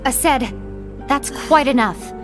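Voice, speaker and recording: A firm, composed woman leader in an animated fantasy. Her voice is a little high, and her speech is poised and diplomatic. Clear music plays behind her. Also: extremely slow. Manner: formal, poised. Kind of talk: animated fantasy film acting.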